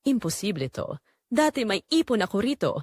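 A woman's voice: mid-range voice, sing-song, a little fast, breathy edge, no echo, clean studio sound. A woman playing a guilt-ridden, desperate unfaithful wife in a romance drama, pleading in a trembling manner. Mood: anxious disbelief. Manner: pleading, trembling, faint to near-shouting.

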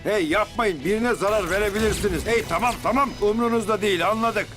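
A man's voice: high voice, fast talker, natural voice. A man voicing a brave, indignant young boy hero in a dubbed cartoon, urgent and defiant. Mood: alarmed, urgent. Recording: loud music under the voice.

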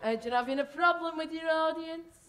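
A woman playing a deadpan, world-weary male expert in a stage pantomime, recorded in a very noisy theatre. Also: very high voice, brisk, music in the background. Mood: deadpan, unimpressed. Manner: deadpan, dry.

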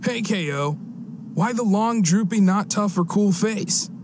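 A man's voice: high-pitched, slow, background noise. A man voicing a vain, boastful teenage alien slacker in a cartoon game, cocky and melodramatic. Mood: smug.

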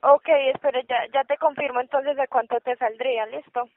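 Una mujer teleoperadora que hace una venta por teléfono con un discurso paciente y algo titubeante. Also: female voice, high voice, fairly even intonation, fairly quick, no room sound. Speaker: telemarketer selling electricity offers. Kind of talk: sales phone call. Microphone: telephone. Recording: muffled, tinny phone line.

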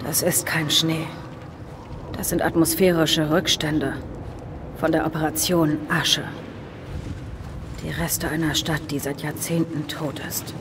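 An actress playing a serious, determined middle-aged soldier in a dramatic video game, speaking tersely. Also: female voice, deep voice, flat delivery, slow, pauses often, background noise. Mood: grave, sombre. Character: grave, determined veteran female soldier with regrets. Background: music.

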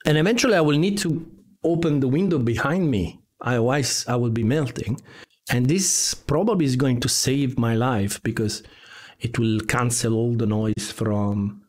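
A man's voice: medium pitch, moderate pace. A man chats casually on a live stream, hesitating and repeating words as he goes. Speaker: live streamer, game developer. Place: home room with guitars on the wall. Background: computer keyboard typing at times.

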